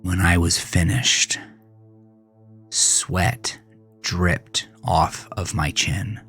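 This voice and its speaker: A man narrating a scripted first-person horror story in a dramatic, deliberate way with drawn-out pauses. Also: sits low, creaky, fairly bright tone. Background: background music.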